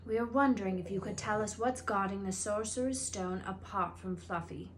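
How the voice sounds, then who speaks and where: A woman's voice: medium pitch, moderate pace, slight background noise, close to an everyday voice. A woman voicing a polite, curious, earnest schoolboy from a storybook in a plain, inquiring way.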